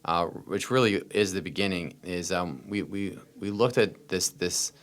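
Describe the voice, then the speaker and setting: A man's voice: deep voice, unhurried. An American man telling personal stories as a podcast interview guest, earnest and repeating phrases for emphasis. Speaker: interview guest, startup founder.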